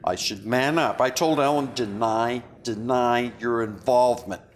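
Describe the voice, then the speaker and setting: An actor at a table read playing a remorseful, philosophizing man, with a halting, confessional and earnest manner. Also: medium pitch, lightly acted. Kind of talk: read-through of a stage drama script. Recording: clearly noisy room recording.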